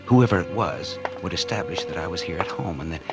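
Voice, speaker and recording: A nervous American murderer in a vintage 1940s radio thriller. The voice is on the low side and swings expressively as he stammers through his guilt. Prominent dramatic music plays behind him on the old recording. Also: lively intonation, a little fast. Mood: tense confession. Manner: jittery, stammering, hesitant. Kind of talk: 1940s radio drama acting, first-person narration.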